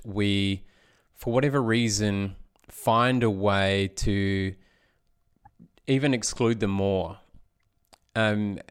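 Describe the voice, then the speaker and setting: A man's voice: on the low side, clean tone. A man hosting a podcast interview, asking long reflective questions with many restarts. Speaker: podcast host. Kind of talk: conversational interview.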